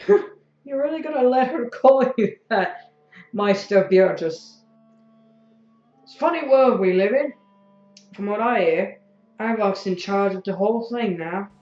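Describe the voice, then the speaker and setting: A woman's voice: mid-range voice, a little slow, long pauses, a little mellow, lightly acted. A woman playing a dying prisoner, weak and coughing, wry and weary, in an audio drama. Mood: mocking. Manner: sardonic, weary. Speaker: voice actor. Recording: echoey, dull, faint music behind.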